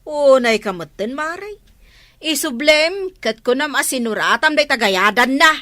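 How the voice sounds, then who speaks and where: An outspoken, proud village neighbour played by a woman, with an Ilocano Filipino accent. Her bright, fairly high voice moves in lively swings, as in a village comedy drama. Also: unhurried, no echo, full character voice. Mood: firm.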